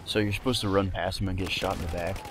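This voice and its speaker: A man's voice: low-pitched, flat delivery, fast, background noise. A man co-commentating on a video game in a casual chat, with dry, wry sarcasm. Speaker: Let's Play co-commentator. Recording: game gunfire behind the voice. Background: video game gunfire and clicks.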